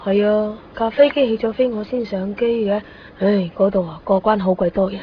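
A woman's voice: medium pitch, fairly even intonation, normal speed, a little hiss or hum, natural voice. A woman acting a blunt, wistful, matter-of-fact teenage schoolgirl in a Cantonese radio drama, sighing, with a Hong Kong accent. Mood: casual, blunt. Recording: dull lo-fi radio recording.

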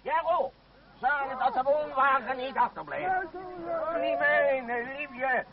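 An actor playing a pompous, middle-aged leader of a travelling theatre troupe in a radio play, stammering and humming in a self-important, fussy way. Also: male voice, very high voice, animated, slow, over a noisy crowd, heavily acted. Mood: commanding. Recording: dull old radio drama recording.

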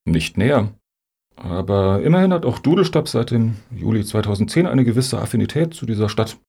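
Guy doing dry sceptical rival in a radio satire, low creaky husky voice, few words. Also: expressive, brisk, mellow, exaggerated voice. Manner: laconic, dry interjections. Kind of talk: satirical radio play dialogue.

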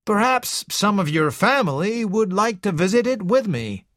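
A man's voice: high voice, sing-song, normal speed, fairly bright tone, dry recording, clean studio sound, pitched above the performer's own voice. A man doing a pleasant, polite middle-aged man. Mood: polite, friendly. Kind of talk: audiobook character reading.

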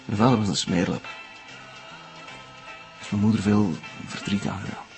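An actor playing an obsessive, menacing Flemish captor in a television crime drama, coaxing with sing-song mock tenderness. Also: male voice, on the low side, fairly even intonation, normal speed, creaky, a little mellow, faint music behind. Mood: bitter.

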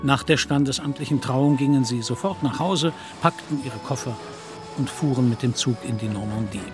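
A man as composed storyteller, mid-pitched male voice, literary narration, radio drama, clear music bed. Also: normal speed, close to an everyday voice.

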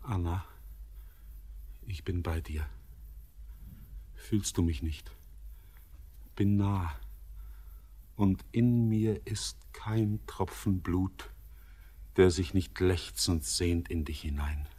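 An entranced young bridegroom in a poetic German radio drama. His husky voice moves very slowly, solemn and intimate. He declaims with the fervour of an otherworldly, ardent visionary. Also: sits low, mellow, full character voice. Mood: ardent longing.

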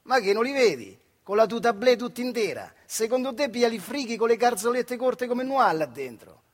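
An actor voicing scrappy, teasing thirteen-year-old village boys in a stage monologue, mocking and boastful, with a central Italian accent from the Marche. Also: male voice, very high-pitched, sing-song, moderate pace, slightly rough, in a large hall, voice pushed up, heavily acted.

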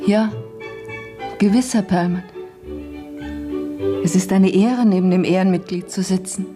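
An actress playing a shy, respectful young housemaid in a radio play, with a bashful, deferential manner. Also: female voice, mid-range voice, steady tone, a little slow, over music, natural voice. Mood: shy, flattered.

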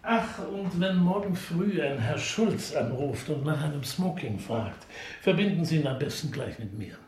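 An actor playing a polite, opera-loving police detective in a radio play, musing and probing gently in a roundabout way. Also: male voice, fairly high pitch, expressive, normal speed, natural voice. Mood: courteous, wry. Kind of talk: naturalistic radio drama acting.

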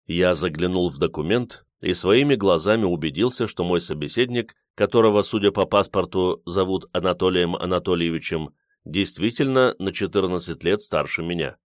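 A man with a low voice reads literary prose as an audiobook narrator. The recording is close and dry, and the delivery is scripted first-person storytelling. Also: normal speed, no room sound.

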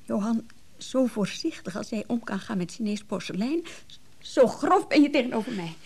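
A woman's voice: a little high, lively intonation, normal speed, vocal fry, a little mellow, breathy edge, exaggerated voice. An actress playing an angry, fed-up woman, cutting and reproachful, in a radio drama. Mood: indignant. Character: angry, fed-up woman quarrelling with her man.

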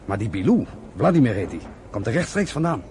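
Rebellious young brother, rapid urgent delivery, persuasive, radio play, slight noise. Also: male voice, lowish voice, sing-song, a little mellow. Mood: eager, coaxing. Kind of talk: radio drama acting. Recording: slightly noisy old radio recording.

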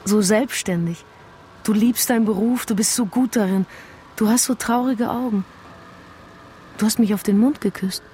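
Eine Schauspielerin spielt in einem Krimi-Hörspiel eine verliebte, anhängliche Frau, die ernst und schwärmerisch spricht. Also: female voice, medium pitch, moderate pace, long pauses, mellow, slight background noise, natural voice. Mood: adoring, yearning. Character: adoring, lovestruck, clinging woman admirer. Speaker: actress in radio play. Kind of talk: German radio crime drama dialogue.

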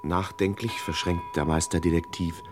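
An actor playing a solemn, pompous narrator of a comic thriller, deadpan in 1960s radio play style. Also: male voice, low-pitched, moderate pace, music in the background, natural voice. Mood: solemn, mock-grave. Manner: deadpan, mock-grave.

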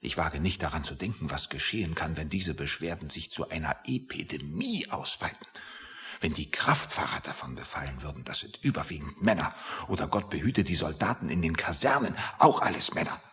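A man's voice: very deep voice, expressive, brisk, fairly bright tone. An actor in a satirical radio play playing a pompous, fretful male civil servant with a querulous, suspicious manner. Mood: anxious.

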